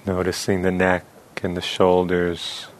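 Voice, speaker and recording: A man leading a guided meditation as a teacher, speaking softly and unhurriedly with long pauses. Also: deep voice, monotone.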